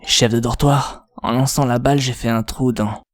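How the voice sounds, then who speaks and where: A man playing a slow-witted, feared monster king's son with a puzzled manner, in an anime fan dub. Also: medium pitch, fairly even intonation, faint music behind, natural voice. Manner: dim, unhurried, puzzled.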